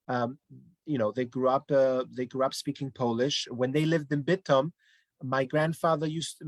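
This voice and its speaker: A man from Denmark talks at an ordinary pitch, circling back on himself with restarts and fillers as he tells his family's history. The sound is close and dry, with no room around it. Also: dry recording. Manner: rambling, with many restarts and fillers. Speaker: guest. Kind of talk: casual online interview.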